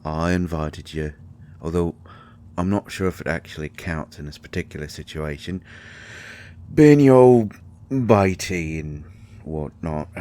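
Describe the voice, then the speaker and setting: A man's voice: low-pitched, a little mellow. A man playing a grumpy, secretive fairy healer in an intimate roleplay audio, hesitant and exasperated with wry sarcasm. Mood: soothing, then dryly scolding.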